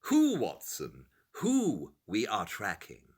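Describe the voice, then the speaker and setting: A man's voice: lowish voice, wildly swooping pitch, slow pace, a little mellow, slightly rough, exaggerated voice. An actor playing a brilliant, self-assured detective in an audio drama, precise and dryly condescending as he lays out his deductions. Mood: assured, cryptic. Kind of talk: audio drama acting, expository dialogue.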